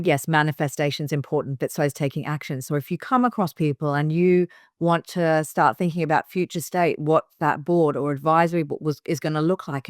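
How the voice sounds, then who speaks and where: Emphatic woman with a smooth, dry voice. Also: low voice, dry recording. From Hong Kong. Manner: direct, emphatic advice with many fillers and restarts. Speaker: business founder, guest. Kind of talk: casual interview talk.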